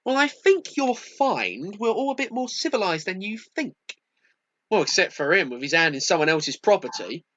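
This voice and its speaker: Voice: medium pitch, very animated, normal speed, a bit bright, muffled recording. An amateur actor with a British accent playing an indignant, sarcastic, accusing train passenger in a radio play. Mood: dismissive. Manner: sarcastic, scolding. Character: indignant, accusing, no-nonsense London Tube passenger.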